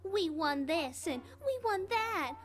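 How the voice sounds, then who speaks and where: A woman's voice: very high-pitched, lively intonation, slow, heavily acted. A woman voicing a snobbish, haughty young filly who bosses others around in a cartoon-style drama. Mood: exasperated. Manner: haughty, demanding. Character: bossy, snobbish young filly school newspaper editor. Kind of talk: animated fan fiction audio drama.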